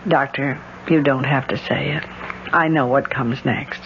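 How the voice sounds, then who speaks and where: An American actress playing a proud, bitter, rich middle-aged widow in a radio drama, speaking with precise, sardonic theatricality. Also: female voice, rumbling low, fairly even intonation, measured pace, creaky, full character voice. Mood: bitter, weary. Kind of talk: 1970s radio drama acting. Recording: dull, noisy 1970s radio recording.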